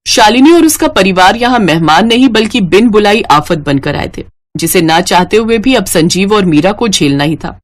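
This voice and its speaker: A woman narrates a scripted family moral story. Her voice sits at a medium pitch, and she works as the storyteller linking the scenes. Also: lively intonation, normal speed.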